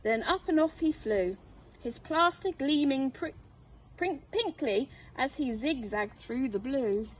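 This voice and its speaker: A woman head teacher reading a children's storybook aloud with expressive narration. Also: sits high, slow pace. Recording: distant mic, faint noise.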